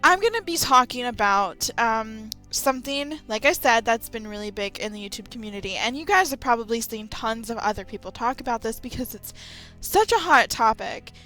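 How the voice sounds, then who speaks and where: A woman's voice: a little high, lively intonation, normal speed, pushed and bright, low-quality recording. A woman giving casual, rambling opinion commentary as a YouTuber, with sarcastic asides. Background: light background music.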